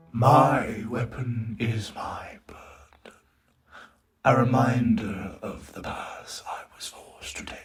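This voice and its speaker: Guy doing a creepy eloquent robot torturer for a comic dub, super polite menace, animated pitch, unhurried, music under it. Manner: theatrical, menacingly polite, soliloquizing, dropping to whispers. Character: sinister, eloquent, sadistic robot torturer leading a hit squad. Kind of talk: comic dub villain monologue.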